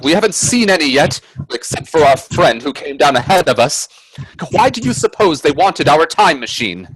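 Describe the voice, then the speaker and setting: A man playing an earnest, uneasy gentleman adventurer in a radio play, telling his tale with theatrical, hesitant suspense. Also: a little high, very animated, normal speed, slightly rough, no echo, low-quality recording. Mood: nervous, alarmed. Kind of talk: dramatic radio play narration and dialogue.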